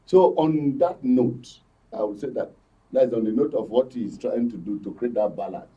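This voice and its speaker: A man with a Nigerian accent giving conversational political commentary as a guest, in a halting way, searching for his words. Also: medium pitch, warm tone. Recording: muffled, far from microphone.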